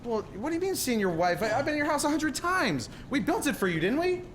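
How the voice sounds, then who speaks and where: A man's voice: extremely high pitch, very animated, fast, a bit bright, a little hiss or hum, heavily acted. A man playing a bewildered, stammering, agitated everyman family man in a 1940s-style radio play. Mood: desperate, confused. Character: frantic, bewildered everyman husband and father. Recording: live stage play with music behind.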